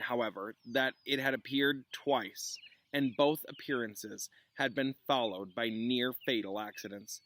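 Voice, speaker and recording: A man's voice: medium pitch, fairly bright tone. A man reading a storybook aloud as a classroom teacher, expressively, with informal asides for pupils. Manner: informal, explains words for pupils.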